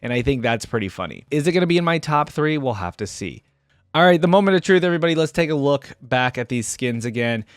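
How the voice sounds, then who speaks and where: Man reacting casually, very expressive pitch. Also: quick pace, clean tone, no room sound, studio quality. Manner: informal, thinking aloud. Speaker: reaction video host. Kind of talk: casual reaction commentary on game character skins.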